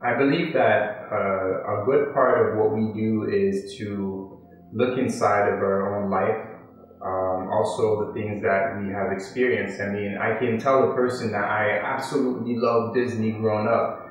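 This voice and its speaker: A man in his thirties, originally from Haiti, speaks in a lowish voice as he answers questions about his art. He talks in a slow, philosophical way, pausing on ums and restarting his sentences. The room rings with heavy echo, and soft music plays behind him. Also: fairly even intonation. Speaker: artist interviewee. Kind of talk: reflective interview answers. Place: art studio with paintings, indoors.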